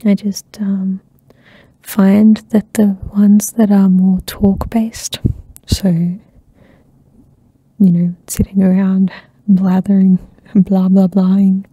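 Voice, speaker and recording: A young woman hosting an ASMR channel, chatting softly and rambling with lots of fillers, often dropping into a whisper close to the mic. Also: medium pitch, husky, no echo, low-quality recording. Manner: soft-spoken, slips into whispers, many fillers. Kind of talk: soft-spoken rambling catch-up chat. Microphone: close ASMR microphone.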